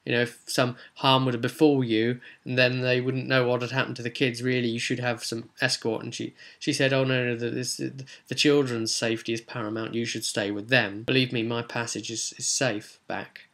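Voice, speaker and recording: A man talks through his tabletop game session as its game master, in a bright, forward voice. He quotes what the characters said without doing voices, and pads his telling with fillers and restarts. The tone is easy and conversational. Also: mid-range voice, flat delivery, normal speed, few pauses. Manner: retells scenes, quoting characters in his own voice, many fillers. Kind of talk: casual spoken recap of a tabletop game.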